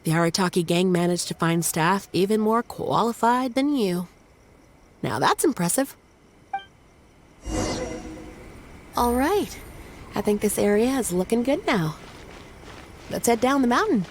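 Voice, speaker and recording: A woman's voice: mid-range voice, expressive, normal speed, slight background noise, natural voice. A woman voicing an earnest, caring sister in a video game, plain-spoken and exclamatory. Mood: impressed, curious. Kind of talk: scripted video game voice acting.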